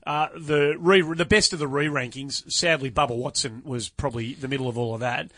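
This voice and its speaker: A man with an Australian accent hosting a golf podcast, talking in an animated, emphatic way and running quickly through rankings and results. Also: mid-range voice, no room sound. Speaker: podcast host. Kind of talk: casual sports chat.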